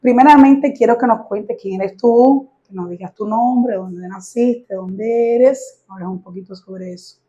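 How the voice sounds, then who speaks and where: A woman hosting an interview, asking gentle, encouraging questions. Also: medium pitch, a little slow, few pauses, dull audio. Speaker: host. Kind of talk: casual interview.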